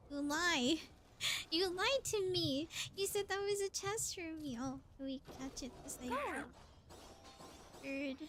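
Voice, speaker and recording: Girly high voiced woman live streaming a game, chatting to herself playfully, bit of noise behind her. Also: expressive, takes it slowly, long pauses, a bit bright. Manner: playful, exclamatory, thinking aloud. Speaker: livestreamer playing a game. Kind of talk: casual live gameplay commentary.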